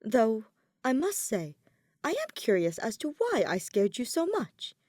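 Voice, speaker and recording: A woman doing a calm, formal young noblewoman. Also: fairly high pitch, measured pace, dry recording, clean studio sound, voice pushed up, heavily acted. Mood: gentle. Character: composed, gentle young woman leader.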